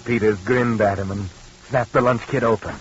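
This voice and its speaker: An actor playing an ominous, taunting narrator of a 1940s radio suspense drama, with terse dramatic delivery. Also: male voice, on the low side, steady tone, moderate pace, a little hiss or hum, lightly acted. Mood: grim, measured. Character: ominous narrator taunting a guilty, nervous man. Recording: muffled vintage radio recording with loud music.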